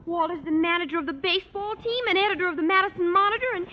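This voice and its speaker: A woman's voice: very high-pitched, very fast. An actress playing a sweet, earnest, girlish teenage daughter in a 1940s radio sitcom. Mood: earnest, protesting. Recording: muffled, faintly noisy vintage radio recording.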